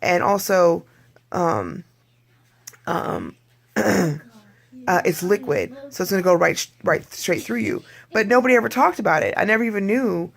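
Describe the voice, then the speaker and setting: An adult vlogger with a hoarse voice, talking in a rambling, candid video diary and clearing their throat often. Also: mid-range voice, sing-song, normal speed.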